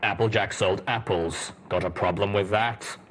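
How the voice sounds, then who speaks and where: A man voicing a gruff, blunt, shrewd armored soldier stallion in an audio drama. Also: fairly low pitch, steady tone, moderate pace, a little hiss or hum, lightly acted. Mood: defiant.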